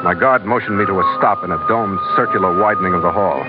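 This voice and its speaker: An actor playing a steady, seasoned sea captain, narrating a 1940s radio adventure in a clipped, dramatic, hard-boiled manner. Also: male voice, deep voice, fast, natural voice. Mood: tense, uneasy. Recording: muffled old radio recording. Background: dramatic music.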